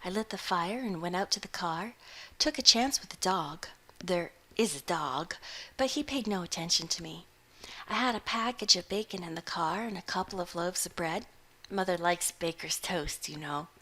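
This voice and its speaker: A woman reading aloud voices a practical young woman who explains things briskly and matter-of-factly. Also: on the low side, fairly bright tone.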